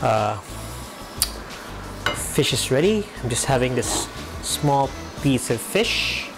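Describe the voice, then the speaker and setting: A man's voice: medium pitch, big pitch swings, slow pace, over music. A young man with a Filipino accent chatting casually to the camera while he cooks in his home kitchen, making joking asides. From Philippines. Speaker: vlogger. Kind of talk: casual chat while cooking.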